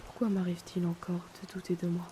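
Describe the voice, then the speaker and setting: A woman playing a melancholic young noblewoman haunted by her past in an audio drama, speaking in a hesitant, hushed, wistful way. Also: fairly low pitch, fast, a little hiss or hum, lightly acted. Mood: pensive, melancholic. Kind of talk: slow introspective audio drama monologue. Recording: steady loud rainfall behind the voice. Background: rain.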